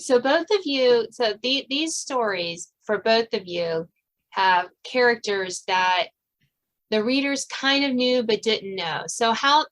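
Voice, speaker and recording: A woman's voice: on the high side, a bit bright, poor audio. A woman hosting a podcast in casual, chatty interview style. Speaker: podcast host.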